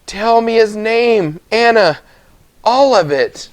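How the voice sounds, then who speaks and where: A man's voice: high-pitched, expressive, slow pace, pitched above the performer's own voice. A man reading a children's story, voicing an urgent, insistent old grandmother. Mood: urgent, pleading. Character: worried old grandmother pressing for a baby's name. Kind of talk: audiobook character reading for children.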